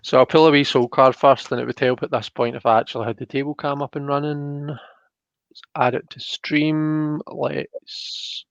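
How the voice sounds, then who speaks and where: A man's voice: mid-range voice, little pitch movement, normal speed, gravelly, no echo, dull audio. A Scottish man hosting a casual live stream, informal and thinking aloud as he talks viewers through a reading. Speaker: live stream host, tarot reader.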